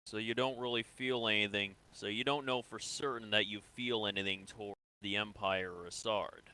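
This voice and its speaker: Man playing a low, crisp-voiced military interrogator. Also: steady tone, moderate pace, pushed and bright. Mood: calm, probing. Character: calm, businesslike male sci-fi military interrogator. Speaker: player in an online roleplay. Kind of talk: improvised in-character game roleplay.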